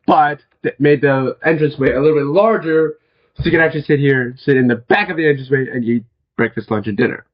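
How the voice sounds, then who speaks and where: Hoarse young man giving a game tour. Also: mid-range voice, lo-fi sound. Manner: chatty, with frequent fillers and throat clearing. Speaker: gaming video host. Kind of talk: casual game walkthrough commentary.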